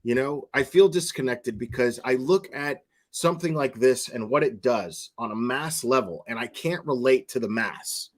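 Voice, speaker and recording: A man's voice: mid-range voice, normal speed, hardly any pauses. A man giving opinionated, off-the-cuff online commentary, repeating words for emphasis and often checking in with the listener. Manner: emphatic, checks with "okay?" and "right?". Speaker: commentary vlogger.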